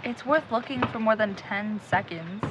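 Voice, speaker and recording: A woman's voice: medium pitch, normal speed, noisy background, lightly acted. An actress playing a determined, blunt young woman searching for a friend in a naturalistic audio drama. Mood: insistent. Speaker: actor in a supernatural mystery audio drama.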